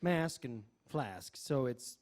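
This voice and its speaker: Animated, earnest news reporter. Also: female voice, a bass voice, takes it slowly, heavily acted. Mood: puzzled, probing. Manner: animated announcing, hesitant questioning. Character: earnest, puzzled local news reporter, live on location. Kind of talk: radio comedy news interview.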